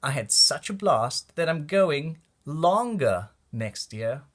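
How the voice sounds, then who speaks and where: Male storybook reader for young kids, lively and varied intonation, unhurried pace, expressive phrasing. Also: a little high. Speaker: children's book reader. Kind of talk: storybook read-aloud for kids.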